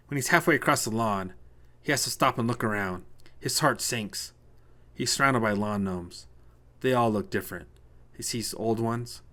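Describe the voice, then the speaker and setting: A man's voice: lowish voice, a bit bright. A man reading a scripted short story as narrator, told in the present tense.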